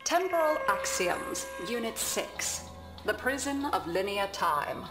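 An unhurried female teaching program from a sci-fi game, formal and measured. The voice echoes over clear background music. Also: a little high, big pitch swings. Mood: solemn, instructive. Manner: measured, instructive. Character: calm female computerised lesson narrator. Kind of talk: scripted educational narration.

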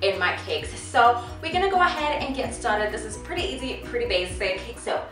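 A woman's voice: a little high, sing-song, fairly quick. A young woman from South Africa in her twenties, presenting a casual home cooking tutorial in a chatty, upbeat way. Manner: chatty, upbeat, laughs often, talks to her toddler. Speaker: cooking vlogger. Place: home kitchen, indoors. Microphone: camera on tripod at a distance. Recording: roomy kitchen sound, from a distance, music behind.

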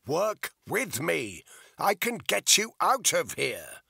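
Guy reading a tough soldier, raised firm voice, husky and bright. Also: medium pitch, animated, takes it slowly, no echo, clean studio sound, voice pushed up, exaggerated voice. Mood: firm shouting. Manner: shouting firm and controlled. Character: calm tough male soldier negotiating.

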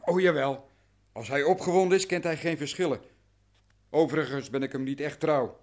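Actor as anxious merchant, slightly high-pitched. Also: male voice, moderate pace, lightly acted. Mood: cautious, secretive. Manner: explanatory, formal, careful. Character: cautious, anxious, worn-down male grain merchant. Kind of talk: radio drama acting.

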